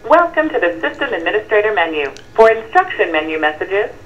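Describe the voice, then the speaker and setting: A woman's voice: medium pitch, very animated, a little fast. A woman reading scripted voicemail menu prompts, heard through a telephone. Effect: played through a telephone. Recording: dull lo-fi telephone playback.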